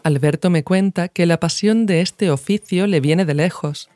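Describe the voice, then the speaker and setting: A woman's voice: fairly low pitch, normal speed, dark tone, no room sound. Female podcast narrator, scripted documentary read in a very dry, close recording.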